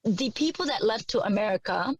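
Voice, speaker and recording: A woman raised in Poland whose first language was Vietnamese, answering interview questions in a measured way with frequent fillers. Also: mid-range voice, lively intonation, breathy edge, no echo. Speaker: guest. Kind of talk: conversational interview.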